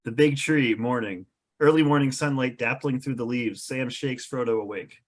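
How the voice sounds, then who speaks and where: A man's voice: mid-range voice, moderate pace. A man reading scene descriptions as the narrator of a script table read.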